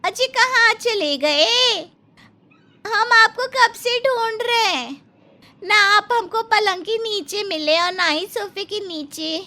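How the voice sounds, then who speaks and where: Very high-pitched naive village bride. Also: female voice, slow pace, bright, forceful tone, full character voice. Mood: puzzled, calling out. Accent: rustic Hindi village dialect. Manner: simple-minded, earnest, exclaiming. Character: naive, cheerful village bride, rustic young sister-in-law. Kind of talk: animated Hindi family story acting.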